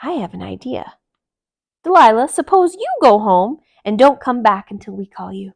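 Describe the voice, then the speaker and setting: A woman's voice: fairly high pitch, animated, normal speed, gravelly. A woman voicing a firm, practical male animal who leads his friends in a children's storybook.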